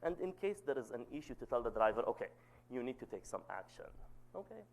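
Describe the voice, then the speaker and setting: Man, thirties, loud voice, academic lecture, animated pitch, checks in with "okay?". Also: quick pace, gravelly. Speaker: guest lecturer. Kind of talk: academic seminar talk.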